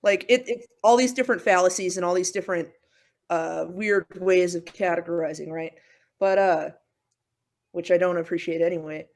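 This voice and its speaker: A woman vocalist, a guest on a podcast, talking in an animated way and restarting her sentences. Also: fairly low pitch, normal speed. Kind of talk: podcast conversation.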